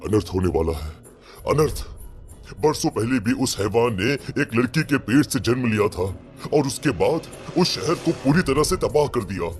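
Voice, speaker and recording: A man's voice: medium pitch, sing-song, normal speed, natural voice. A man playing a grave old village priest who speaks with solemn foreboding in a horror story. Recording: background music under the voice.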